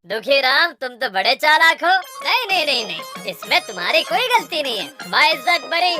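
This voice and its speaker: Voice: sits high, sing-song, moderate pace. A performer voicing a pompous king in a cartoon story, theatrical and questioning. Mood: commanding. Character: village son, pompous king and scheming minister. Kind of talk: exaggerated Hindi cartoon story acting. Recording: music and jingles behind the voice.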